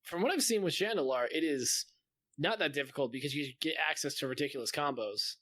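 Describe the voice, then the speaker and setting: A man's voice: fairly high pitch, brisk, pushed and bright, no echo. A man in casual, informal conversation as a podcast panelist. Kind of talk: casual gaming chat.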